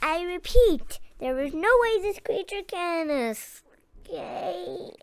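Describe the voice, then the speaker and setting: A woman voicing a boastful male mouse scientist in a squeaky voice, drawing out grand announcements to a crowd in a storybook reading. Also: animated, slow, a little mellow, no room sound, full character voice. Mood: reassuring, unsure.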